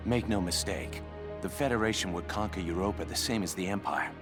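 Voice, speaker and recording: An actor playing a cynical, gruff soldier in a video game, talking bluntly and grumbling. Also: male voice, sits low, a little fast, over music, natural voice. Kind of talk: video game cutscene acting.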